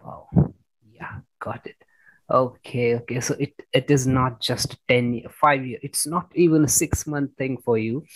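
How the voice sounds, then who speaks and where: A man's voice: medium pitch, steady tone, a little slow, no room sound, rough recording. A man hosting a conversational interview, effusive and often repeating and rephrasing himself as he goes. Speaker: interviewer, host.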